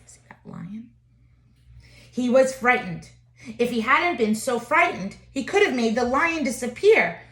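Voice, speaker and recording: A woman teacher reading a children's picture book aloud, with expressive, deliberate phrasing and emphasis. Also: on the high side, echoey room.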